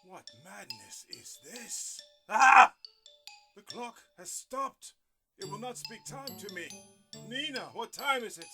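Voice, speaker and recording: A man voicing a muddled old zombie who speaks in grand, formal phrases with sudden high outbursts. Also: sing-song, takes it slowly, over music, heavily acted. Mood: startled. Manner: theatrical, grandly formal, prone to sudden shrieks. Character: addled old zombie man, pompous and well-meaning.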